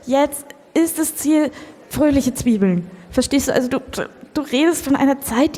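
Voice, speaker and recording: A woman's voice: high-pitched, mellow, close to an everyday voice. A woman playing an earnest, insistent young farm shop owner in an improvised comedy radio play. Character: earnest onion farm heiress championing happy onions. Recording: echoey hall with long reverb.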